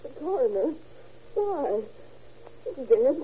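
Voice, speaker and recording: A distraught widow in an old radio play, high-pitched and swooping, with a breathless, stammering delivery. The recording is a slightly dull old broadcast with faint hiss underneath. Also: female voice, big pitch swings, takes it slowly, mellow, exaggerated voice. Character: grieving, nervous scientist's widow. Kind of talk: 1940s radio acting.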